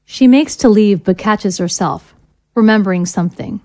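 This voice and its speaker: A female narrator with a mid-pitched voice reads scripted stage directions. She delivers short screenplay-style descriptions between the dialogue. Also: moderate pace, darkish tone.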